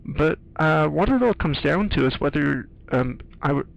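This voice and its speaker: A man giving casual playthrough commentary, explaining things in a halting, thoughtful way with game audio behind him. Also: a little high, lively intonation, vocal fry, muffled recording. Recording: faint noise, game sounds behind. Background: video game audio.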